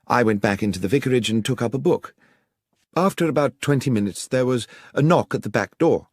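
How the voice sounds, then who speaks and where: A man's voice: mid-range voice, a little fast, breathy edge, dry recording, clean studio sound, lightly acted. A man voicing an earnest village vicar who recounts events in formal, wordy, precise sentences for an audiobook. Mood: earnest, explanatory. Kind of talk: audiobook character dialogue.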